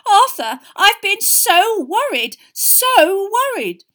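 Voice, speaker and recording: Storybook mother, middle-aged, high-pitched, tearful, fretting, frantic. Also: very animated, slow pace, fairly bright tone, full character voice. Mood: frantic relief. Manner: wailing, breathless exclamations and fretting.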